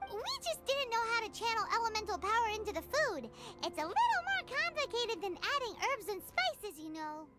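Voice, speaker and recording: Squeaky hyper fairy buddy, super sing-songy, music blasting behind. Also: female voice, brisk, few pauses. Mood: explaining. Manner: bubbly, squeaky, excitable, refers to herself in third person. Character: tiny floating fairy companion, chirpy and blunt. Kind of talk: video game voice acting. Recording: game soundtrack playing under voice.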